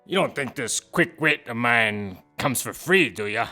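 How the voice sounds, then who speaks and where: A man acts out a grumbling, cocky male co-host in a comic audio show. He is brash and sarcastic, with a sharp, forceful tone, unhurried pacing and faint music behind. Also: medium pitch, lively intonation. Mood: demanding. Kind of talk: scripted comic co-host banter.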